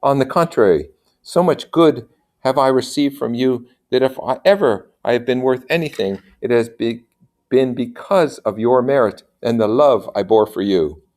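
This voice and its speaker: Humble storybook gentleman in love, courtly, earnest, deferential, voiced by a male reader. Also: mid-range voice, normal speed, pitched above the performer's own voice, lightly acted. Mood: humble, devoted. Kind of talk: storybook character reading.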